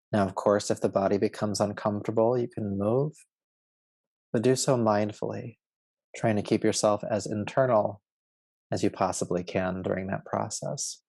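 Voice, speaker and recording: A man guiding a yoga nidra relaxation as a teacher, with a soothing, measured, instructive delivery. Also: fairly low pitch, gravelly, a little mellow, no echo. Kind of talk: guided relaxation meditation.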